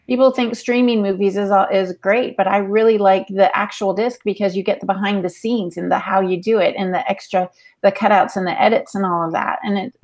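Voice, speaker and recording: A woman speaking as a podcast panelist in a casual, conversational style. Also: mid-range voice. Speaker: podcast panelist, aspiring novelist. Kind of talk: casual chat.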